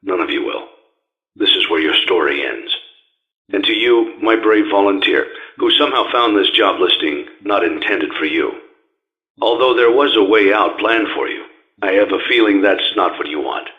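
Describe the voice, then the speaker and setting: Deep, weary father figure, muffled. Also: breathy edge. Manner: measured, formal, grave delivery. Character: weary, grieving older father, solemn and menacing, guilt-ridden inventor. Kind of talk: dramatic scripted monologue and taped instructions. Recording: dull lo-fi radio-like tape sound.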